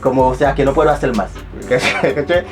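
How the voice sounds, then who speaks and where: Young man, non-native Spanish speaker, rambling and self-mocking, middling pitch, clear music underneath. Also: normal speed. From abroad, not Chile. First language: not Spanish. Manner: rambling, self-mocking, many restarts and repeated words. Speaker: vlogger. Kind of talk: casual storytelling to camera.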